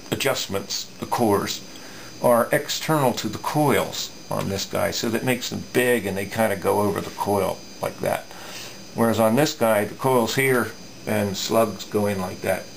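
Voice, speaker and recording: A middle-aged man giving a casual hands-on technical explanation, thinking aloud haltingly with folksy asides. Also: lowish voice, gravelly, a little hiss or hum, poor audio. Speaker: radio repair hobbyist presenting. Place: indoor electronics workbench.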